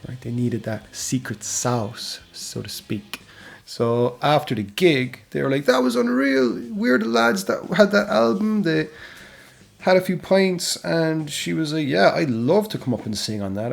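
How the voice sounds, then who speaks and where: Guy telling a band story all casual, bit of gravel in the voice, lots of "right?". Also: mid-range voice. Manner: chatty, keeps adding "right?". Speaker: music history channel host.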